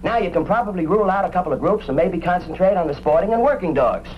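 Garrulous showbiz animal agent: high-pitched, swooping, folksy and proud, muffled old radio recording. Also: male voice, animated, quick pace, a little hiss or hum. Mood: knowing, emphatic. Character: chatty, proud male animal-act agent, showbiz enthusiast. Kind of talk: old-time radio drama acting.

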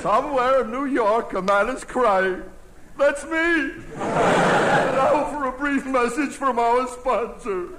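A man's voice: very high-pitched, slow pace, over a noisy crowd. A man playing a grave, melodramatic news commentator in an old radio comedy parody. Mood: mournful, portentous. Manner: melodramatic, portentous. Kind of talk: 1950s radio newscast parody. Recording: very noisy old radio recording.

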